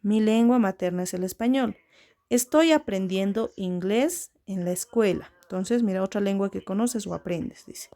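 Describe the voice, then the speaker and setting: A woman teaches a room of children. Her voice is warm and mellow, with a gravelly edge. She explains patiently and keeps checking that the class is following. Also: mid-range voice, normal speed. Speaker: schoolteacher.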